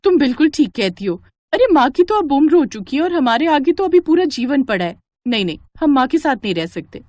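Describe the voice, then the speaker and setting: Woman voicing a scornful young daughter, very animated. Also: sits high, brisk, dry recording, studio quality, voice pushed up. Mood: callous, dismissive. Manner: dismissive, scornful. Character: callous, selfish, scheming young daughters. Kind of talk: animated moral story dialogue.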